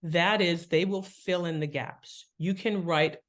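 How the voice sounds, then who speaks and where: A woman talking as an author and interview guest, explaining writing craft in an animated, enthusiastic way. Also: lowish voice, unhurried.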